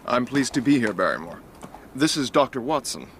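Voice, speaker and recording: An actor playing a brisk, plain-spoken young heir in a radio drama, with a bluff, direct, informal manner. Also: male voice, mid-range voice, big pitch swings, fairly bright tone. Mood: courteous. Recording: outdoor ambience noise, vehicle sounds.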